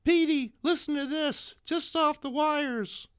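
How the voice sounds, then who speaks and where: A man voicing a breathless, excitable young woman in a dramatic comic book reading. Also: very high voice, slow pace, no room sound, studio quality, voice pushed up, exaggerated voice. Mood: breathless excitement.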